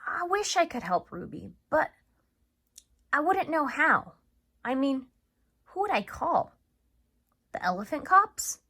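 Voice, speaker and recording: Woman voicing storybook dad, worried, gentle, earnest, lifted pitch, bright tone. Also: big pitch swings, takes it slowly, pauses often, exaggerated voice. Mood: worried, resigned. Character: worried, gentle working father of a young girl. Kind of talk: storybook read-aloud.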